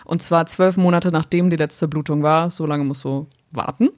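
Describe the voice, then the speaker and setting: A woman's voice: low-pitched, steady tone, moderate pace, darkish tone, dry recording. Dry-sounding woman in her thirties, chatting casually. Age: 30s. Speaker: co-host. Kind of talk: casual chat.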